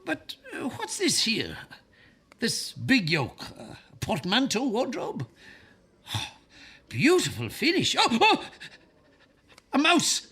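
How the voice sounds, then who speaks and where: An actor playing a wry, talkative Irish novelist in a radio drama, slightly husky and rambling in an unhurried, teasing way. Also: male voice, very high voice, huge pitch swings, bright, forceful tone, full character voice. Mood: curious, startled.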